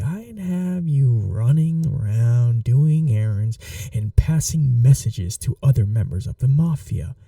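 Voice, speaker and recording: A man acts out a calm mafia boss with a very dark, mellow voice and a gravelly creak. He speaks softly and slowly in an ASMR roleplay style. His manner is protective, reassuring and teasingly flirty. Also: medium pitch, dry recording, distant mic, exaggerated voice. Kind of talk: soft-spoken ASMR roleplay acting.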